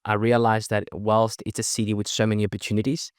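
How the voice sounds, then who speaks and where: Fast-talking man with a Spanish-Australian accent. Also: fairly low pitch, completely flat delivery, clear, resonant tone, no echo, clean studio sound. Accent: mixed Spanish and Australian. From Chile. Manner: fast, emphatic, leans on "right?" tags. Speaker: podcast guest, networking event organizer. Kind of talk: energetic casual interview talk.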